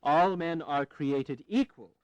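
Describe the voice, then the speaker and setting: A man's voice: on the high side, takes it slowly, clean tone, no room sound, highs cut off. An actor playing an articulate founding father in a historical audio drama, declaiming formally. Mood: solemn.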